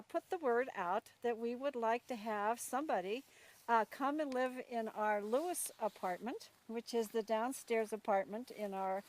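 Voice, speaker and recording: Higher-pitched woman, chatty interviewer. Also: poor audio. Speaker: host introducing a new ranch worker.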